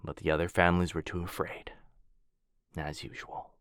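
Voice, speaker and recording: Man playing a very deep-voiced gentle vampire lord. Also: little pitch movement, normal speed, no room sound, whispered, studio quality. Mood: bitter, restrained. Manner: soft-spoken, formal, tender, with hushed breathy dips. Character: gentle, earnest aristocratic vampire lord, protective and melancholy. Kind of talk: intimate boyfriend ASMR roleplay.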